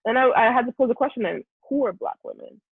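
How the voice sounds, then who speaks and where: Husky Nigerian woman on a phone line. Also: a little high, fast, dry recording. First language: Yoruba. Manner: animated, restarts sentences, laughs often. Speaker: guest content creator. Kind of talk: reflective interview talk. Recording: tinny phone-like line, dry.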